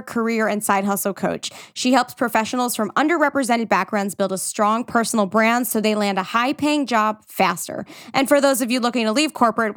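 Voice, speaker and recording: Enthusiastic woman podcast host. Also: a little high. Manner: enthusiastic, quick-talking. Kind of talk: casual interview chat.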